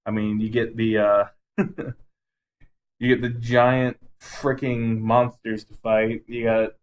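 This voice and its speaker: A man's voice: medium pitch, little pitch movement, slow pace, a little hiss or hum. Man co-hosting a casual podcast. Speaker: podcast co-host. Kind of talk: casual podcast chat about movies.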